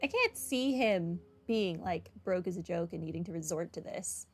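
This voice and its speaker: A woman's voice: fairly low pitch, expressive, normal speed. A woman gamer giving casual playthrough commentary, musing to herself as she goes. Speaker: video game streamer. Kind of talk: casual playthrough commentary, some reading aloud. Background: game music.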